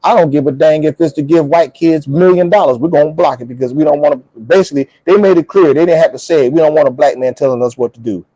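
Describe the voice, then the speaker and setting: A man's voice: a little high, quick pace, few pauses, mellow, no room sound, poor audio. A man in an opinionated, casual political chat, speaking bluntly and colloquially, emphatic and often repeating his phrases for effect.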